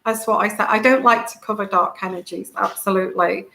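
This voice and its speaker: A woman with a soft, soothing voice, hosting a casual tarot livestream, rambling with many fillers and greeting viewers by name. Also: medium pitch, steady tone, brisk, gravelly, echoey room, rough recording. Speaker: tarot reader and channel host.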